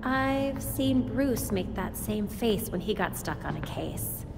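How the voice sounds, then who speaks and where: A decisive young vigilante. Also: female voice, mid-range voice, expressive, gravelly. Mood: focused. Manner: brisk, decisive. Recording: reverb with music score.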